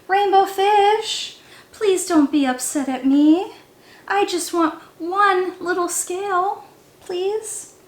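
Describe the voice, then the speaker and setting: A woman's voice: extremely high pitch, takes it slowly, pitched above the performer's own voice. A woman voicing a small, sweet, earnest little fish in a children's storybook. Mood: pleading. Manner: pleading, earnest. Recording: roomy, echoey sound.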